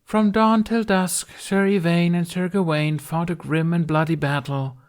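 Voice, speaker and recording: A man's voice: fairly high pitch, fairly even intonation, unhurried, pitched above the performer's own voice, lightly acted. A man voicing an exasperated young woman who declaims theatrically as King Arthur in a story reading. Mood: weary declaiming. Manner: strained, declamatory stage delivery. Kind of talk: character voice in fan fiction reading.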